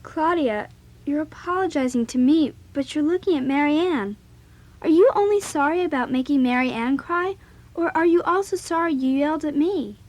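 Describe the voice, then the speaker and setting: A high, youthful voice of a bossy teenage girl, acted by a woman in a children's audio drama. She is blunt and forthright, quick to speak her mind. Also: natural voice. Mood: indignant. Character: bossy, outspoken teenage girl club founder, also narrating.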